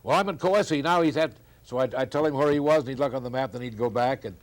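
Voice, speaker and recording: A man's voice: mid-range voice, quick pace. An older man, a veteran morning radio host, reminiscing in anecdotes during a casual conversation.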